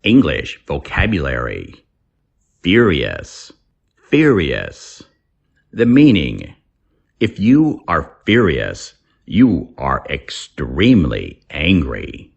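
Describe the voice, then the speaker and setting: A man with a very low, gravelly voice reads an English lesson at an unhurried pace. His delivery is deliberate and teacherly, yet his intonation swings widely from phrase to phrase. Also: wildly swooping pitch, dull audio. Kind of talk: scripted lesson narration, slow read-aloud.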